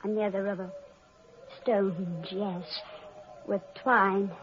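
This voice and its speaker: An actress playing a jealous, panicked young woman who has killed her sister, speaking frantically and haltingly in an old-time radio drama. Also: mid-range voice, level tone, natural voice. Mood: frantic, guilty. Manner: frantic, halting, repeating herself. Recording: dull old radio recording with music.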